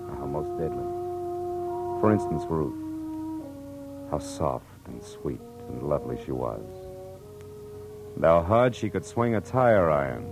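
A man's voice: a bass voice, exaggerated voice. An American man playing a wry, world-weary private eye in a 1940s radio drama, with laconic delivery. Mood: brooding, weary. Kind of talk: 1940s radio drama acting with first-person narration. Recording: vintage 1940s radio broadcast, music underneath.

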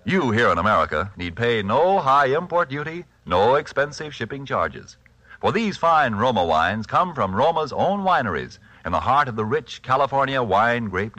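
A man's voice: mid-range voice, bright, forceful tone. A man announcing a 1940s radio commercial with polished, emphatic salesmanship. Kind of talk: 1940s radio advertising read.

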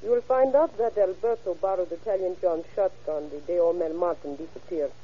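An actress playing a guarded female informant, speaking in a deliberate, insinuating way in an old radio crime drama. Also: fairly low pitch, husky. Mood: knowing. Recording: tinny, narrow-band vintage radio recording.

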